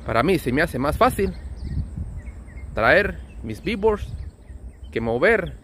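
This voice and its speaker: A man with a Mexican accent and a notably high, lively voice talks casually in a trucker's vlog. His delivery is friendly and full of slang and diminutives, explaining things step by step over faint outdoor vehicle noise. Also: takes it slowly. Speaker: trucker vlogger.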